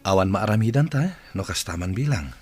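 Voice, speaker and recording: A man's voice: on the low side, lively intonation, fairly quick, a little mellow, faint music behind, lightly acted. A man with an Ilocano accent playing a tender, resigned, devoted husband in a radio drama.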